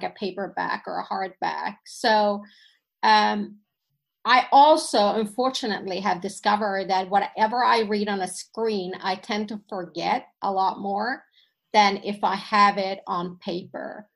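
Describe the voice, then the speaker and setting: A woman's voice: medium pitch, creaky. A woman from Sweden whose first language is Finnish, a podcast guest chatting and telling stories from her life with plenty of fillers. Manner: reflective, storytelling, with fillers. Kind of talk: casual chat.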